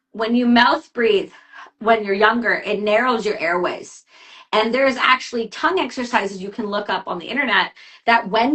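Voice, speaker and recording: A woman coaching athletes talks through sleep habits in relaxed podcast chat, full of practical tips and quick side remarks. Her voice sits a little high and rings bright and clear. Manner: chatty, advice-giving, quick asides. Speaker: health coach.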